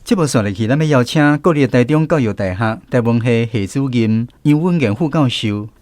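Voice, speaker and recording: A man's voice: mid-range voice, lively intonation, a little fast, darkish tone, dry recording. A man with a Taiwanese accent hosting a radio programme, delivering scripted thanks and introductions. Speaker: radio host. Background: guitar music.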